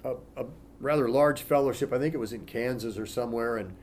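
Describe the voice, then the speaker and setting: A man teaching as a pastor in a relaxed, conversational way, reading scripture aloud between informal asides and frequent "you know" fillers. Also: medium pitch, fairly quick, slight background noise.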